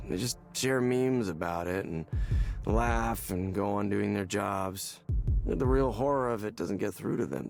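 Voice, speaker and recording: An actor playing a despairing, hollow man in a visual novel game, speaking haltingly and repeating words as he searches for them. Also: fairly low pitch, lightly acted. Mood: self-loathing, gloomy. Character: despairing, hollow man confiding in a counseling session.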